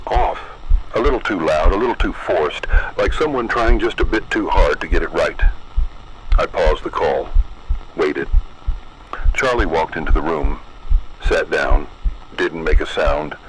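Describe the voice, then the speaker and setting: A man narrating a scripted horror story in a low, measured, ominous way. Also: a bit bright, slight background noise, low-quality recording.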